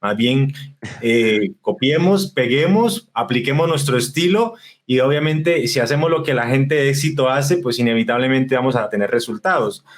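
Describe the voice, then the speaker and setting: Man, podcast guest, relaxed and talkative, mid-pitched voice. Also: moderate pace. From Colombia, raised in northern Spain. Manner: relaxed, talkative, with frequent fillers and restarts. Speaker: guest, marketing specialist. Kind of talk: conversational podcast interview.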